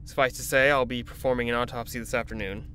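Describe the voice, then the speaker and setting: An actor playing a driven, commanding research doctor in an audio drama, with a clinical way of talking. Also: male voice, mid-range voice, steady tone, fast, bright, forceful tone, a little hiss or hum, natural voice. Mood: measured, clinical.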